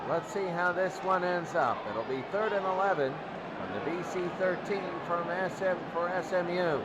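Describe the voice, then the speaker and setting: A man doing excitable play-by-play American football commentary in short, clipped phrases. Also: high-pitched, moderate pace, highs cut off, very noisy. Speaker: play-by-play sports announcer. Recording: loud crowd and music behind. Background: crowd and music.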